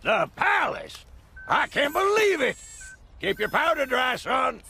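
Brash high-pitched American tourist. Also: male voice, sing-song, slow, creaky, sharp tone, slight background noise, full character voice. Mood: loud amazement. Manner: booming, gushing. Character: brash, loud, gleeful American male tourist with camcorder. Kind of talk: cartoon voice acting.

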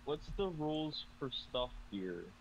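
A man's voice: a little high, sing-song, slow pace, creaky, dull audio, crowd noise. A man chatting and joking with friends over voice chat during an online game. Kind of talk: casual voice chat.

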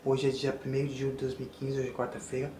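A man in his thirties with a Brazilian Portuguese accent, filming himself in a small bedroom and rattling off his personal facts in a quick, flat run. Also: mid-range voice, slight background noise. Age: 30s. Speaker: vlogger. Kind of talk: self-recorded spoken introduction. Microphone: camera held close at arm's length. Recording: echoey small bare room.

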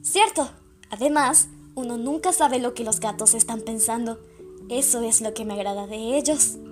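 Young female cafe employee acted by a woman, thoughtful and friendly, fairly high pitch, music underneath. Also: moderate pace, close to an everyday voice. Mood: musing. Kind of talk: fan dub of a manga. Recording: music playing behind the voice.

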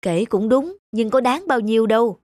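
A woman's voice: on the high side, expressive, moderate pace, a little mellow, no room sound, natural voice. An actress voicing a plump older village woman in a cartoon, sensible, frank and chiding. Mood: chiding, matter-of-fact. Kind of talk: animated moral tale voice acting. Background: background music.

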